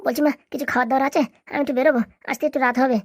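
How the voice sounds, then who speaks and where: Woman as brash, fast-talking grown son. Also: high voice, fairly even intonation, dry recording, clean studio sound. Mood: impatient. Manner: impatient, dismissive. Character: brash, harried grown son dodging his parents. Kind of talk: Bengali comedy cartoon dialogue.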